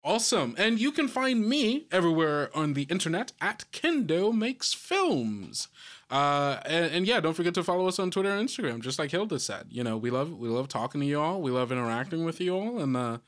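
A man's voice: fairly high pitch, big pitch swings, brisk, a bit bright. A man hosting a podcast in casual, chummy banter with informal wording. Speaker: podcast host.

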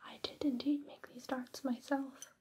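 A woman hosting an ASMR personal-attention roleplay in a breathy, soothing whisper, intimate and unhurried, close on a binaural microphone. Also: sits high, level tone, warm tone. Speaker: ASMR host.

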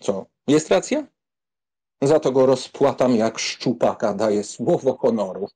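Guy doing a teasing young nobleman in an audiobook, mock-solemn, swoopy pitch, soft dark husky tone. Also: expressive, measured pace. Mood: cocky, cajoling. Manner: mocking, amused, mock-solemn. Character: flippant, teasing aristocratic young count, cocky duelist. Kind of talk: audiobook drama acting.

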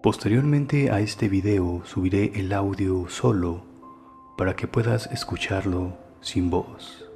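A man hosting a guided meditation, giving soothing, unhurried instructions, recorded as narration for relaxation. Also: sits low, a little mellow. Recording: voice over music and water sounds. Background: relaxation music, running water.

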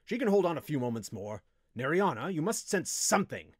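A man's voice: on the high side, sing-song, normal speed, dry recording, studio quality. A man voicing a cold, domineering wizard teacher in an audiobook, with a commanding, imperious delivery. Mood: demanding, forceful. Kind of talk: audiobook character voice.

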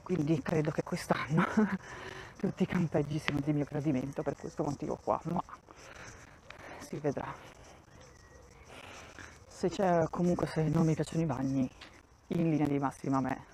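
A woman's voice: low voice, steady tone, moderate pace, pauses often, background noise. A woman giving a casual walking tour of a campground, thinking aloud and often restarting her sentences, recorded on a handheld phone outdoors. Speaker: vlogger touring a campsite.

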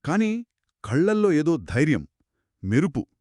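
A man co-narrating a children's moral story in an expressive, conversational way. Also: fairly high pitch, measured pace, dry recording, studio quality. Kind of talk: conversational two-person storytelling.

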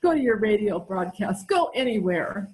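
A woman's voice: medium pitch, very animated, moderate pace, a little mellow, lightly acted, poor audio. A middle-aged woman playing a genteel, put-upon wife in an amateur old-time radio comedy. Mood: wounded. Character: melodramatic, put-upon wife feigning frailty, sweetly genteel. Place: room at home, video call. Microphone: video call.

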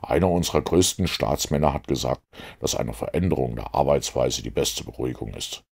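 Guy reading as a commanding detective, really deep husky voice, explains stuff precisely, audiobook. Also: brisk, heavily acted. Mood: analytical, assured. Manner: assured, precise, expository. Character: brisk, self-assured male detective, commanding and analytical.

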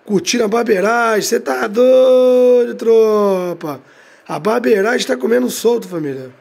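A man with a Rio de Janeiro accent, hoarse from a cold, giving hyped slangy commentary over a bus game, each phrase ending on a low quiet tag word. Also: a little slow. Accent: Brazilian Portuguese, Rio de Janeiro. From Brazil. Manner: slangy, drags out catchphrases, tag words drop low and quiet. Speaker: game streamer.

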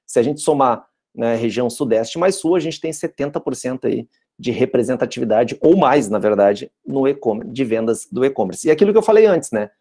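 A man's voice: mid-range voice, expressive, a little fast, mellow. A man with a Brazilian Portuguese accent giving an online lecture over a video call, talking through slides in a conversational way with frequent tag questions. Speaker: guest lecturer.

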